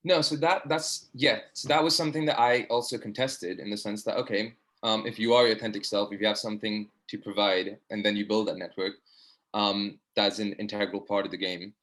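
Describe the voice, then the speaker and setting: A man's voice: fairly low pitch, fairly even intonation, fairly quick, a bit bright. A young man, a medical student, earnestly asking a long, rambling question over a video call, full of fillers. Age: young adult. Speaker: audience participant, medical student. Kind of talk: online discussion question.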